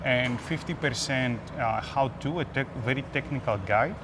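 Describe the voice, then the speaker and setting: A man from Greece speaks with a Greek accent as an interview guest. His voice sits at a medium pitch and he pauses between phrases as he explains things. Noticeable urban background noise runs behind him. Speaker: guest, application security expert. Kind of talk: conversational interview answers. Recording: noisy urban ambience behind voice.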